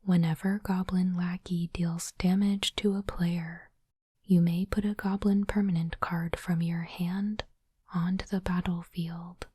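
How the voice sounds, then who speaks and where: Woman whispering very slowly, ASMR style. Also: on the low side, little pitch movement. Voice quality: breathy, unvoiced whisper. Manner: slow, deliberate, softly reading aloud. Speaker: host of an ASMR unboxing channel.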